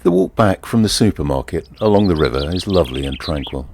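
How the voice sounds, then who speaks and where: Man acting a wry easygoing bloke telling his story, really low voice, birdsong and outdoor sound behind him. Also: expressive, fast talker, darkish tone, a little hiss or hum. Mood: tranquil, musing. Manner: wry, confiding, leisurely. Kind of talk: first-person dramatic monologue. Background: birdsong and outdoor ambience.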